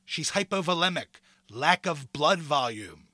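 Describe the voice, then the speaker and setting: An actor playing an uneasy, clinical doctor in an audio drama, with a measured manner. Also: male voice, fairly high pitch, expressive, pushed and bright, dry recording, studio quality, heavily acted.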